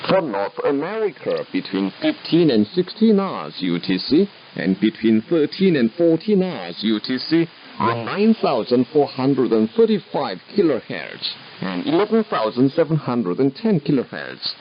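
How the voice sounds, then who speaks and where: A man reading formal, measured announcements as a radio announcer, heard over shortwave radio. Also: medium pitch, huge pitch swings. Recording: muffled, hissy shortwave radio reception.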